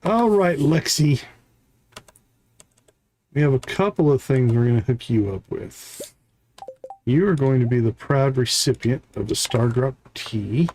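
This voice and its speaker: A man's voice: mid-range voice, a little mellow, a little hiss or hum. A man live streaming a video game, giving casual commentary as he thinks aloud and chats to viewers.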